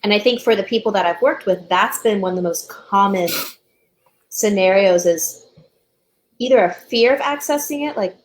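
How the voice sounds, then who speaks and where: A woman talking as a counselor in a casual discussion, with a thoughtful, measured manner. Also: medium pitch, slight background noise, rough recording. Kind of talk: casual podcast-style discussion.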